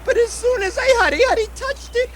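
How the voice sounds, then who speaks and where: Puppet-show fisherman, played by a woman: very high, swooping, haunted, stammering, chant-prone, noisy crowd around. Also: lively intonation, fairly quick. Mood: panicked, tearful. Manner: stammering, constantly breaking into a repeated chant. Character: terrified, ghost-haunted male fisherman, frantic and superstitious. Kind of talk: theatrical puppet-show acting.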